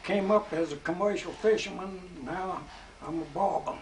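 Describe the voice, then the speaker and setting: Plain-spoken old man, high voice. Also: moderate pace. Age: 70s. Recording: distant mic with noise.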